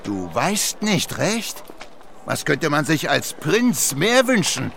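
An actor playing a stern, domineering royal uncle who condescends and bullies in a children's radio play. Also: male voice, on the high side, sing-song, slow, vocal fry, fairly bright tone, a little hiss or hum, exaggerated voice. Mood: condescending, probing. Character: stern, menacing royal uncle, a usurper king. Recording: music under the voice.

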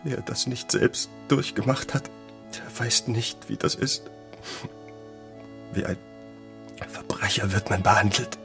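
A man's voice: on the low side, creaky, a bit bright, faint music behind, heavily acted. Weary, pleading door-to-door salesman. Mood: downcast, halting. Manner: pleading, halting, deferential. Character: worn-out, desperate young male door-to-door wax salesman. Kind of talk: German radio play acting.

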